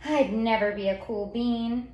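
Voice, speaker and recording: A woman reading a children's story aloud in an expressive, theatrical way, with dramatic pauses and drawn-out words. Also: a little high, muffled recording, a little hiss or hum. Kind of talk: expressive picture-book storytelling. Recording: roomy, echoey sound.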